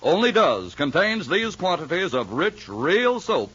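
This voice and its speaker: A man's voice: fairly high pitch, sing-song, unhurried, fairly bright tone, slightly rough. A man reading a vintage radio commercial in a persuasive, insistent way, on a lo-fi old recording. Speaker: ad announcer.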